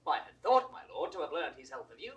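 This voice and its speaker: A raw, earnest young British lord in classical stage style. He speaks deferentially, with pitch that moves widely across each phrase. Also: sing-song, quick pace, highs cut off. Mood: earnest reporting. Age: young adult. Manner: deferential, formal. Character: earnest, respectful young English nobleman, eager and raw. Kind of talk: classical Shakespearean stage acting.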